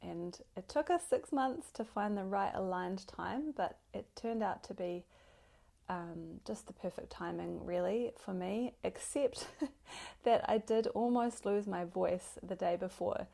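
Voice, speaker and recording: A woman hosting a podcast, speaking alone in a grateful, gushing way with fillers and soft laughs. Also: medium pitch, unhurried, creaky. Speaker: podcast host. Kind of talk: solo podcast introduction.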